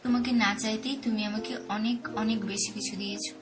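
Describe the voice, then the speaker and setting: A woman's voice: fairly high pitch, fairly even intonation, brisk, close to an everyday voice. A woman playing a young, playful and affectionate Bengali wife in a romantic audio story, with teasing tenderness. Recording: music under the voice. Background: background music.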